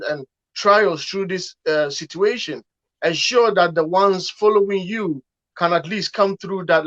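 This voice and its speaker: A man's voice: high voice, fairly bright tone. A man from Ghana, a pastor speaking as a guest on an online panel, talking earnestly in a preaching manner and often repeating words as he starts phrases. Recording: muffled lo-fi call audio.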